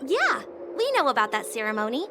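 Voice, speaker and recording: A woman voicing a brisk, practical traveling merchant in a game. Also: high voice, wildly swooping pitch. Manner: brisk and matter-of-fact. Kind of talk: game voice acting. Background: game soundtrack music.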